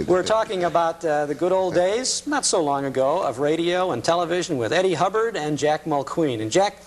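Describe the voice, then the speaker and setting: A man's voice: fairly high pitch, lively intonation, normal speed. A man hosting a talk show, interviewing in a casual, chatty way with some hesitant phrasing, on a noisy recording.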